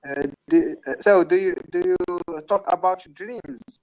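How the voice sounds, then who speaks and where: A man in his twenties with a Moroccan accent, chatting casually and haltingly, repeating words as he searches for the next. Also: sits high, a little mellow, poor audio. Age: 20s. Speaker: guest caller. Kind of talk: casual online chat in halting English.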